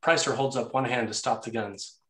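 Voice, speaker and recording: A man's voice: mid-range voice, steady tone, a little fast, a bit bright. Echoey man narrating a screenplay. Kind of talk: scripted narration of screenplay action. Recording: echoey room sound.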